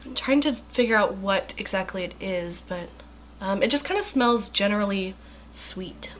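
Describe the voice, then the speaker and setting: A woman's voice: medium pitch, moderate pace, a little hiss or hum. A young woman with a stuffy, congested voice chatting casually through a skincare review, with lots of likes and ums. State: congested from a cold. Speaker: beauty vlogger.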